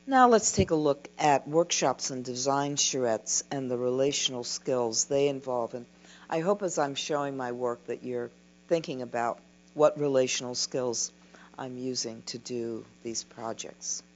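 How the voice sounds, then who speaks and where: An older woman giving a keynote lecture from a podium, reading a prepared talk in a slow, measured way. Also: extremely low pitch. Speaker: keynote speaker. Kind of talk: scripted conference lecture. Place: dim lecture hall at a podium. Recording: dull lo-fi recording with mains hum.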